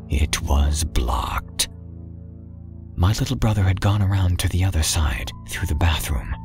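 Deep-voiced man narrating a horror story. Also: creaky. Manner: hushed, slipping into whispers. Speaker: audiobook narrator. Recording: faint background music.

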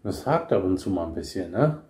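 A middle-aged man comments casually on a game in a roomy, echoing space with faint noise. His voice is low and mellow, and he takes his time as a relaxed let's play host. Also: brisk. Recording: roomy echo, slight background noise.

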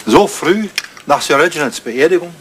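An older man, an actor playing a dry, devoted, disapproving English butler, formal and deadpan, in a radio comedy drama. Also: fairly high pitch, moderate pace, natural voice. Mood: sceptical. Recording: noisy old radio play recording.

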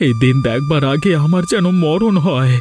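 A man's voice: on the high side, lively intonation, moderate pace, darkish tone, natural voice. A man voicing a despairing, poor married village farmer in a plaintive, lamenting cartoon style, with music behind him. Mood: stubborn, anguished. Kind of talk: Bengali cartoon voice acting.

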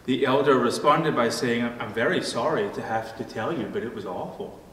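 A middle-aged or older man, a pastor, delivering a sermon with measured, deliberate phrasing. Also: mid-range voice, fairly bright tone. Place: church room at a wooden pulpit. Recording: echoey church hall, distant mic, faint noise.

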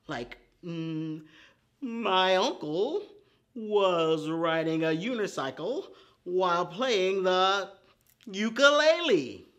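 Very slow, animated storytime man. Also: on the high side. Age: middle-aged. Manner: animated, theatrical, drawn-out delivery with gasps and dramatic pauses. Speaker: preschool storytime host. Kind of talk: children's storytime lesson with expressive poem reading. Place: indoor set with painted forest backdrop.

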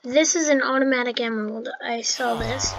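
A woman's voice: a little high, normal speed, a little hiss or hum. A woman giving casual, chatty commentary over her own gameplay, with fillers and restarts. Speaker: gameplay narrator.

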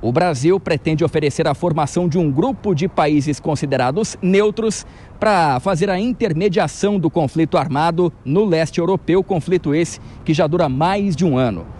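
A man's voice: fairly high pitch, normal speed, a little mellow. A Brazilian man in his thirties delivering a live news report into a handheld microphone outdoors. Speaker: field reporter. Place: outdoors at night in front of a large building. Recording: outdoor live feed with ambient noise.

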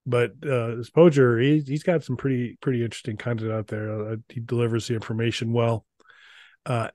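A man's voice: medium pitch, darkish tone, dry recording. A middle-aged man hosts in a casual, unscripted way. He rambles and stops and starts with a wry touch. The sound is dry and close, with little room in it. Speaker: co-host of a retro gaming show. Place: small indoor room.

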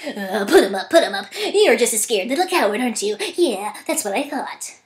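A woman's voice: a little high, lively intonation, brisk, pitched below the performer's own voice, close to an everyday voice. A woman voicing a cowardly male cartoon lion pixie with hesitant bluster. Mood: bluffing.

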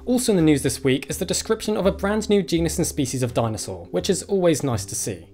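Lively young man reading science news. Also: fairly high pitch. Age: young adult. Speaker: news presenter. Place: room with bookshelves and dinosaur models.

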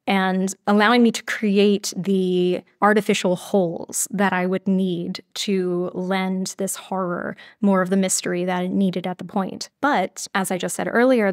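A woman's voice: medium pitch, no echo, clean studio sound. A woman speaking as a writer and interview guest, explaining her creative work in a conversational way.

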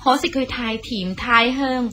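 An actress playing a gracious, friendly wife in a scholar's household, gentle and welcoming, in a radio drama. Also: female voice, on the high side, measured pace. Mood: playful. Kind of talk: Cantonese radio drama acting.

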